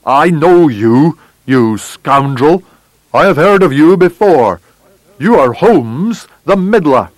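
A man voicing a furious, menacing old villain in an audiobook, snarling and blustering as he shouts. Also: mid-range voice, very animated, measured pace, no room sound, poor audio. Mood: taunting fury. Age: older. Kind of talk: audiobook character voice.

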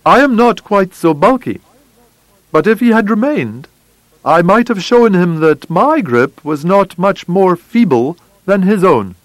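A man voicing an unruffled, witty gentleman detective in an audiobook, speaking in a bland, quietly amused manner. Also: high-pitched, very animated, unhurried, no room sound, voice pushed up, poor audio. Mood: wry, composed. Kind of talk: audiobook character voice.

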